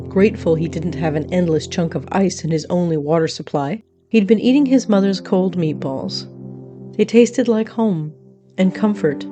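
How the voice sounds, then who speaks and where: A woman's voice: on the low side, close to an everyday voice. A woman playing a wry, grandiose otherworldly radio host, narrating an audio drama in an arch, florid, dramatic way.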